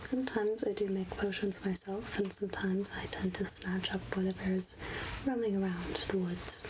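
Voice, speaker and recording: A woman voicing a friendly, centuries-old witch of the woods in a soft-spoken ASMR roleplay, gentle and musing in a breathy whisper. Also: medium pitch, fairly even intonation, noisy background, close to an everyday voice. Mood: gentle, helpful. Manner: slow, gentle, musing, archly addressing a mortal. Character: three-hundred-year-old witch of the woods, friendly, wryly curious.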